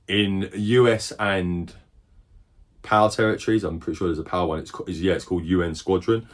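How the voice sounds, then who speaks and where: Brief: middle-aged man, deep voice, hoarse, rambling collector show-and-tell. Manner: rambling, chatty, self-correcting. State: losing his voice. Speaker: collector showing games.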